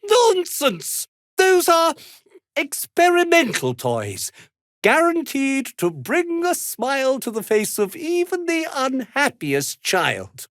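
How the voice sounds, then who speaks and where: A man's voice: extremely high pitch, huge pitch swings, slow pace, a bit bright, no echo, studio quality, full character voice. A British man playing a fussy, self-important and slippery toy maker in a video game, speaking in a haughty, theatrical, wordy way. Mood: indignant. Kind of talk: dramatic video game dialogue.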